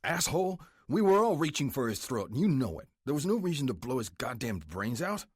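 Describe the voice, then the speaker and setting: A man voicing a hot-tempered male biker vice president who shouts explosively. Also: medium pitch, very animated, a little fast, husky, no echo, studio quality, pitched above the performer's own voice. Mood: furious.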